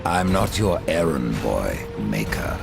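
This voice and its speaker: Grim, very deep gravelly horseman. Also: sing-song, takes it slowly, music in the background, full character voice. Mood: stern. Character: grim, imperious male horseman of the apocalypse. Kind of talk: dark fantasy game acting.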